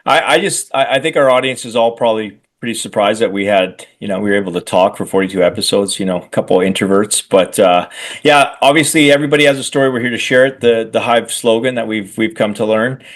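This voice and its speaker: A man's voice: lowish voice, quick pace. A man co-hosting a rugby podcast, talking casually, rambling with self-deprecating humor. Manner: rambling, many fillers and restarts, self-deprecating. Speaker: podcast co-host and rugby coach.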